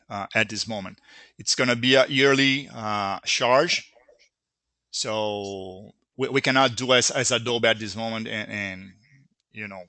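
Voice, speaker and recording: Bright-voiced Brazilian man explaining earnestly. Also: medium pitch, no room sound. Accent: Brazilian Portuguese. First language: Brazilian Portuguese. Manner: earnest, explains at length with many restarts. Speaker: software company founder answering user questions. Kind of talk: live Q&A explanation.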